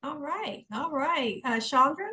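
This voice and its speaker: A woman moderating a panel, calling on each guest by name with quick short acknowledgements. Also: high-pitched, animated, pushed and bright. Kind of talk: hosting a panel discussion.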